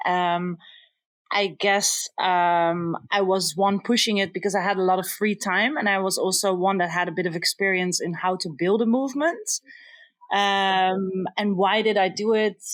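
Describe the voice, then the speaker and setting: A woman's voice: medium pitch, no room sound. A woman from the Netherlands, a culinary entrepreneur and interview guest, speaking earnestly with many hesitant fillers. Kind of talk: casual interview.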